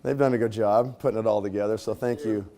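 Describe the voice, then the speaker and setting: A man with a slightly scratchy voice, clearing his throat now and then, gives a conversational leadership talk to a live audience. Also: lowish voice, steady tone, fast talker. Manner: conversational, casual fillers and tag questions. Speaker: speaker at a leadership training.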